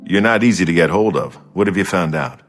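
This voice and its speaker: A seasoned undercover warrior in a fantasy game. His voice is very low and gruff, delivered with firm resolve over faint music. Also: animated, fairly quick. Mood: determined. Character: gruff, resolute male imperial secret agent, seasoned warrior. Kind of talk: video game dialogue acting.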